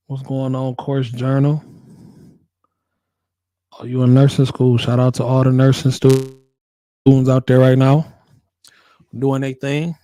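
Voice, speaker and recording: An American man hosting a casual livestream, laid-back and slangy, repeating his phrases, with a voice hoarse from a cold he is getting over. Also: mid-range voice, warm tone, no room sound. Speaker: livestream host, working nurse.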